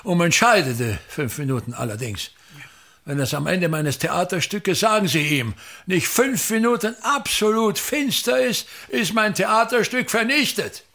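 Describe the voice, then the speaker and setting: An actor playing a vain, embittered older theatre actor and playwright in a radio play, domineering and declamatory, with an Austrian accent. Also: male voice, high voice, lively intonation, a little slow, pushed and bright, heavily acted. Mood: imperious, indignant. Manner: theatrical, declamatory, haranguing, repeats words.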